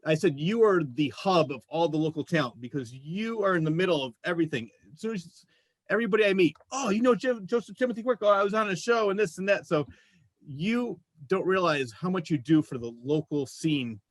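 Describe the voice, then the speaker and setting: A man's voice: sits high, animated, a little fast, no echo. A man co-hosting a casual interview show, talking in an effusive, appreciative way. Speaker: show co-host and voice actor.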